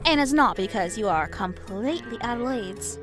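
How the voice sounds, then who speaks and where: A woman voicing a sly, pushy interviewer in an audio drama, high-pitched and lively. She needles with insinuating questions. Music plays behind her. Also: moderate pace, close to an everyday voice.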